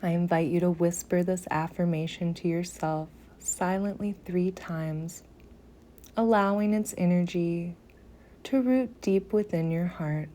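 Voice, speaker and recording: A woman guiding a meditation in a soothing, gentle manner, softly breathy at phrase ends, with long pauses between short phrases. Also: lowish voice. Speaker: yoga nidra meditation guide. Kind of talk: slow guided meditation.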